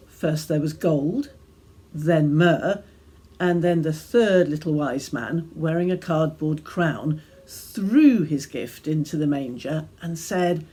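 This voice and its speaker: A woman reading a devotional reflection as gentle, measured storytelling with deliberate pauses. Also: deep voice, slightly rough. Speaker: reader of her own devotional reflection. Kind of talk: scripted devotional reflection, storytelling read aloud.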